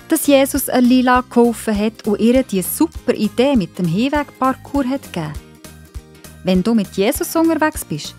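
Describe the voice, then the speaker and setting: A woman with a Swiss German accent narrating a children's Bible story, expressive and speaking directly to young listeners. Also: on the high side. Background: music.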